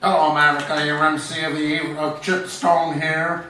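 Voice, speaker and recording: A man playing a mock-serious, showy 1940s radio announcer and pitchman, with deliberate delivery. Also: little pitch movement, bright, forceful tone, a little hiss or hum. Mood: showy, salesmanlike. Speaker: announcer, narrator. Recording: echoey, distant, with music behind.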